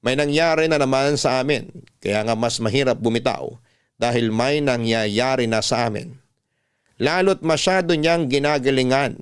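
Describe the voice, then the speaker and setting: A man delivers scripted first-person narration in a radio drama style. His voice sits in the middle range and is very clear and resonant. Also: mid-range voice. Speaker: storyteller, narrator.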